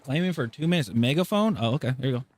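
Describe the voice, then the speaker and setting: A man's voice: medium pitch, lively intonation, quick pace, slight background noise. A man giving casual, slangy live gameplay commentary with a playful manner, as a game streamer. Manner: slangy, playful, breaks into song.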